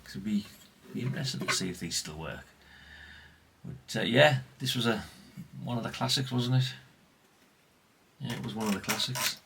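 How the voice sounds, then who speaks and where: A middle-aged man with a fairly low, forceful voice talks off the cuff as if to mates, in loose colloquial vlog chat. There is faint noise on the recording. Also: normal speed, gravelly. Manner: colloquial, chatty, frequent tag questions. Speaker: vlogger, radio collector. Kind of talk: casual rambling vlog chat.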